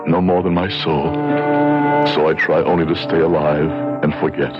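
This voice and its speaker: A deep-voiced, bitter old drunkard. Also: male voice, flat delivery, normal speed. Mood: despairing, bitter. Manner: theatrical, brooding, confessional. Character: bitter, self-loathing old drunkard haunted by dread and guilt. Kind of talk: vintage radio drama acting. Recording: dull vintage radio recording with music.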